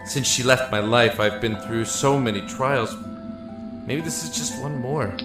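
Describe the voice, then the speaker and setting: A man's voice: mid-range voice, a little slow, loud music behind the voice, natural voice. An actor playing a desperate imprisoned man in an audio drama, telling his story in an earnest, emotive, brooding way. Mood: brooding, haunted. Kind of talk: dramatic first-person audio drama narration.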